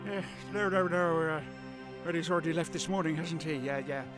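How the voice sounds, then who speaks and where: A man's voice: a little high, brisk, over music, natural voice. An actor playing a kindly, doddering old grandfather in an audio drama, hesitant and fussy, often tagging a little "hmm" onto what he says. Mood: flustered, gentle.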